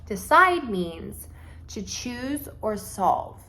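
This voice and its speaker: Slow, expressive woman teacher reading aloud. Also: on the high side. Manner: deliberate, expressive, instructive. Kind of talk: storybook read-aloud with vocabulary explanations.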